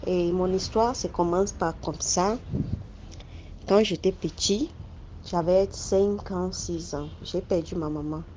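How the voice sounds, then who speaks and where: Halting man. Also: high voice, fairly even intonation, darkish tone. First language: English. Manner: halting, searching for French words, many restarts. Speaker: story contributor. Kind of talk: telling a personal spooky story. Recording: dull lo-fi sound with faint noise.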